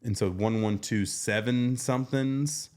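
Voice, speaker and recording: A man's voice: medium pitch, a little slow, a little mellow. A man co-hosting a podcast, chatting in an easygoing way and laughing often. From American South. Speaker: podcast co-host. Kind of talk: casual chat.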